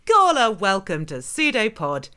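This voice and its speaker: An actress playing a scheming, imperious broadcast host, theatrical and dryly menacing. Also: female voice, mid-range voice, huge pitch swings, measured pace, very noisy. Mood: showy announcing. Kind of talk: theatrical radio host narration.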